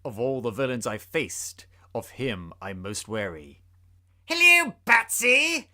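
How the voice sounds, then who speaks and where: A man's voice: on the low side, animated, measured pace. A man giving a theatrical, suspenseful dramatic reading of a rhyming story. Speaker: podcast host.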